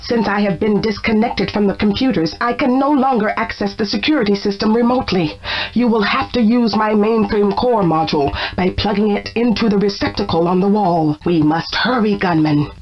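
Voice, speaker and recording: A woman voicing a mainframe intelligence in a video game through a radio-like filter, giving formal, measured instructions. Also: mid-range voice. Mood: urgent, instructing. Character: female mainframe intelligence confined to a portable core module. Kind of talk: scripted video game voice acting. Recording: muffled, narrow radio-like sound.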